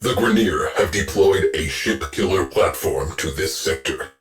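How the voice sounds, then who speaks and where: A stern shipboard AI officer in a video game, all clipped commands and dry deadpan remarks. His voice sits very low and unhurried, with faint music underneath. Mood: terse. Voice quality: clipped, deadpan military delivery. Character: stern male ship AI officer, terse, dry. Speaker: ship's AI officer.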